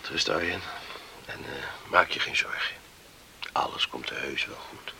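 An actor playing a loyal young farmhand in a radio play, earnest, hesitant and concerned. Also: male voice, rumbling low, measured pace, gravelly, sharp tone, slight background noise, exaggerated voice. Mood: hushed concern.

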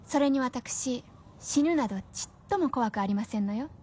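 An actress playing a gravely ill, devoted wife in a radio drama, serene yet eager, with genteel old-fashioned polite speech. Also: female voice, high voice, animated, normal speed, darkish tone, a little hiss or hum. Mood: serene, resolved.

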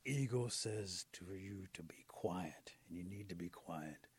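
A man voicing a stern, tough wolf with a slow, low, menacing delivery in a storybook tale. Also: slightly rough, exaggerated voice. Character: stern, slow-talking tough wolf who follows orders.

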